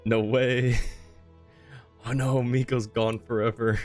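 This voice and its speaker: A man's voice: medium pitch, flat delivery, slow pace, darkish tone, soft background music. A man doing casual live game commentary as a streamer, playful and mock-dramatic as he chats to viewers.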